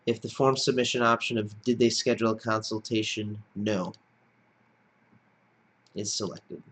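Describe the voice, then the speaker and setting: Low-pitched man narrating a software tutorial. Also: steady tone, moderate pace. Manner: instructional, plain-spoken, explanatory. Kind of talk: step-by-step screencast tutorial narration.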